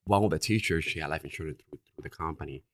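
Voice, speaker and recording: Earnest Dominican-accented man, deep voice. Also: fast talker, no room sound, studio quality. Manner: earnest, repeats "right?" often. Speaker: insurance agency owner. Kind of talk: podcast interview.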